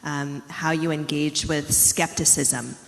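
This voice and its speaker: A woman's voice: deep voice, steady tone, slow pace, creaky. A woman with an American accent, introducing herself and putting a question from a conference audience. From United States. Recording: echoey hall, long reverb.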